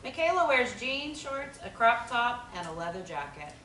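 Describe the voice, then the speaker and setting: A woman reading the stage directions at a table read in a plain, even way. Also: on the high side, normal speed. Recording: roomy audio from far off, faint noise.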